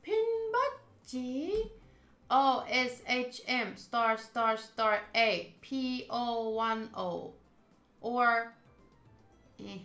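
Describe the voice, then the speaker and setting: A woman's voice: a little high, bright, forceful tone. A woman streaming a game, reading the story aloud and adding casual commentary. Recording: roomy echo, faint game music behind.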